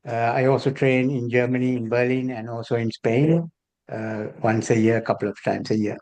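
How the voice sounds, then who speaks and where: A man's voice: medium pitch, fairly even intonation, moderate pace, a little mellow. A man from Sri Lanka, a therapist and trainer introducing himself matter-of-factly on a video call. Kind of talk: self-introduction on a group call.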